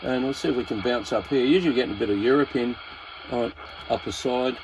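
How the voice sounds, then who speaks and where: A man's voice: mid-range voice, quick pace, background noise. An Australian man presenting a casual hobbyist product walkthrough in a laid-back, informal, slightly hesitant way.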